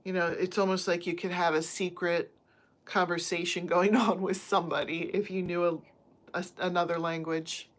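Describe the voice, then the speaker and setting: A woman's voice: lowish voice, little pitch movement, moderate pace. A woman from the United States, a crochet livestream host, chatting casually with viewers and reading their comments from her phone at home. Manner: chatty, friendly, talks to chat viewers by name. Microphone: phone on a stand.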